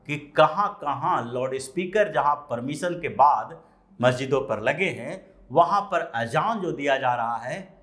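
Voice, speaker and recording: Slow, animated male news commentator. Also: mid-range voice. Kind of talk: news commentary explaining a story.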